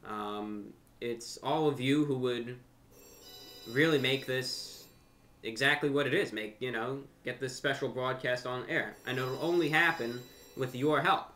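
Male, young adult, American. Bright, sharp-edged voice, mid pitch; earnest casual vlog host talking straight to camera. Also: unhurried, slight background noise. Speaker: host of a video channel and radio show. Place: furnished room at home.

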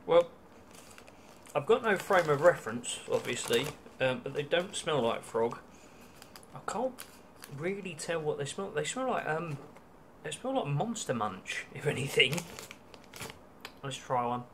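A man's voice: fairly high pitch, big pitch swings, unhurried, long pauses, a bit bright, a little hiss or hum. A young British man in a casual food-tasting vlog, chatty and wry, with many ums and restarts, filmed in a small hotel room. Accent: British English. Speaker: travel vlogger.

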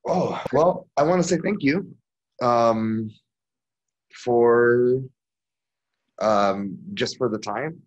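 A middle-aged man interviewing a guest over a video call from his home office, speaking haltingly with many fillers and restarts. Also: mid-range voice, highs cut off. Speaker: magazine interviewer. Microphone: video call mic.

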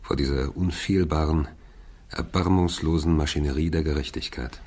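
A very deep, husky, solemn narrator. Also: male voice, moderate pace, darkish tone, heavily acted. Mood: solemn, sombre. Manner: solemn, literary. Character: grave, reflective male narrator of a courtroom mystery. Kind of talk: scripted narration in a radio play.

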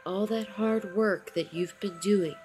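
A woman narrating a scripted guided meditation in a soothing, encouraging manner, with short phrases and long pauses. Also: mid-range voice, darkish tone. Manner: encouraging affirmations. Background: background music.

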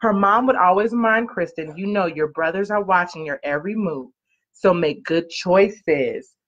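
A woman's voice: fairly low pitch, normal speed. A woman reading a children's storybook aloud expressively, drawing out key words and prompting young listeners with questions. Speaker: parent-like storyteller reading a picture book to children. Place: small living room, on a couch. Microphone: webcam or laptop mic at arm's length. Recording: muffled lo-fi webcam audio.

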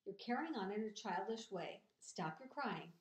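Female storybook spider, voiced by a woman reader: calm, measured, motherly but firm, with a roomy sound. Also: medium pitch, lightly acted. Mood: firm, brisk. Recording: echoey room.

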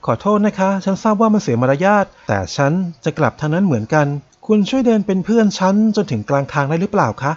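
A man's voice: high voice, sing-song, quick pace, hardly any pauses, lo-fi sound, voice pushed up. A man putting on a frightened polite young woman. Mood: pleading. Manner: pleading, soft and polite. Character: polite frightened Japanese woman in her late twenties.

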